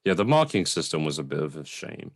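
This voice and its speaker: A man's voice: a bass voice, sing-song, fast. A man co-hosting a casual, conversational film review, informal and sometimes sweary as he qualifies his opinions.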